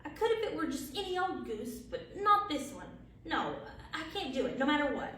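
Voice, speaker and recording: A kindly, tender-hearted housewife from a storybook as a woman reads her aloud, gentle and homely. The voice is high and animated, heard from a distance with heavy room echo. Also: moderate pace, heavily acted. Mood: hesitant, tender. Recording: very echoey, distant, faint background noise.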